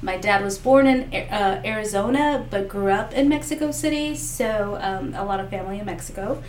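A woman's voice: medium pitch, moderate pace. A woman whose first language is Spanish, answering questions as a political candidate, earnest and full of fillers and restarts. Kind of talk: interview answers. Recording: echoey room, mic far off, faint noise.

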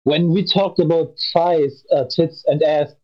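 A man's voice: fairly high pitch, fairly even intonation, unhurried. A twenty-year-old man guesting on a podcast call, blunt and teasing. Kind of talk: casual chat. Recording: dull lo-fi mic.

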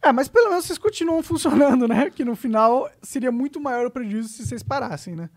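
A man's voice: very high-pitched, lively intonation, fast. A man hosting a casual podcast chat, talking in slang and throwing out follow-up questions. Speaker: podcast host.

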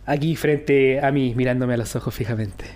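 A man's voice: medium pitch, steady tone, moderate pace, darkish tone. A Chilean man in his twenties chatting casually with a friend, slangy and joking, swearing freely. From Renaico, southern Chile. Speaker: co-host. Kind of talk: casual banter between friends.

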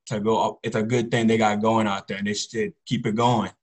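A teenage male athlete answers interview questions casually. His voice is fairly low and very flat in melody, with little rise or fall as he talks. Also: a little fast. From Congo, later the US Pacific Northwest. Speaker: high school football player, podcast guest.

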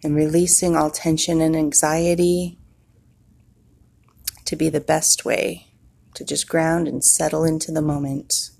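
Low, husky woman guiding a slow meditation. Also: flat delivery, darkish tone. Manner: slow, soothing, with long pauses. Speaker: meditation guide. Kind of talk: guided meditation.

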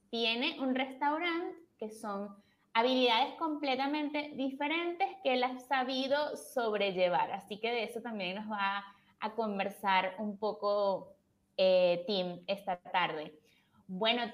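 A woman hosting a podcast, reading a formal introduction and welcoming her guest. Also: on the high side. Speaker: podcast host. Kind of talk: scripted intro then interview hosting.

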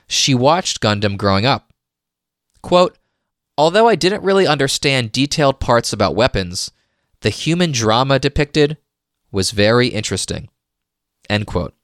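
A man's voice: fairly low pitch, a bit bright, no room sound, clean studio sound. A man hosting a podcast, reading a scripted history segment with casual asides. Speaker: podcast host.

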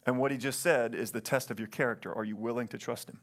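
A man's voice: medium pitch, fairly even intonation, fast. Man, pastor, earnest sermon, calls for amens, repeats himself, church stage mic. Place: church sanctuary. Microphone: stage microphone.

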